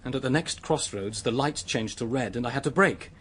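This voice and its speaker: An actor playing a distraught young British man in a radio drama, confessing to an accidental killing in a haunted, halting manner. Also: mid-range voice, fairly bright tone, slight background noise, close to an everyday voice. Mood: tense recounting.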